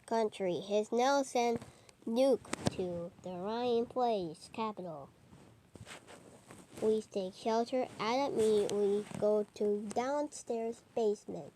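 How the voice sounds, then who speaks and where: A woman reading a homemade mock emergency broadcast in a halting, improvised way with stumbles. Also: fairly high pitch, noisy background. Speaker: narrator of a homemade emergency alert scenario.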